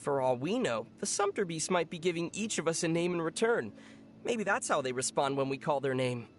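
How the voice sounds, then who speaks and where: A woman voicing a teasing, deadpan young traveler in a video game, with a dry manner. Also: low voice, brisk, faint music behind. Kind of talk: video game character dialogue.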